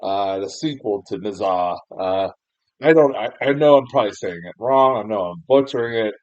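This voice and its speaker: A man's voice: on the low side, lively intonation, moderate pace, no room sound. A young American man giving a casual, rambling film review, full of fillers and restarts, laughing at himself and swearing mildly. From United States. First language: English. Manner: rambling, self-deprecating, frequent fillers and restarts, laughs often, mild swearing. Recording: dull, muffled lo-fi sound, dry.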